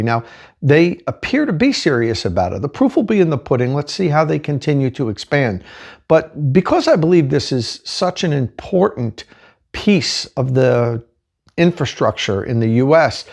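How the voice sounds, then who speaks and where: Host guy intro-ing his show, gravelly voice, kinda higher pitch. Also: darkish tone. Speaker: channel host. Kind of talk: scripted video intro.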